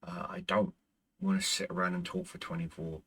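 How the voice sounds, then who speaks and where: Gaming host, male, native Irish speaker, husky low voice, rambling and halting, voice wearing out. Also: little pitch movement, fairly bright tone. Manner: rambling, with frequent stumbles and restarts. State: losing his voice. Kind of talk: casual chat to viewers.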